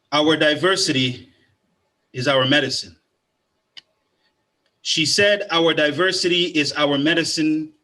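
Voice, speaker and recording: A man performs spoken word poetry with a rhythmic, rising delivery. His voice sits a little high for a man and rings bright and forceful. He is a poet and arts educator. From Canada, raised partly in Guyana.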